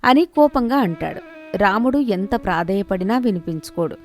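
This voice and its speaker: A woman's voice: mid-range voice, expressive, moderate pace. A woman reading a scripted moral story as its storyteller. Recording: music behind the voice.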